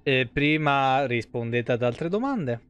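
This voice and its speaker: A man's voice: mid-range voice, expressive, slow, a bit bright, smooth. A man around his thirties, giving casual, slangy, sweary live commentary on a game. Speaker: game streamer. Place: indoor streaming room at home.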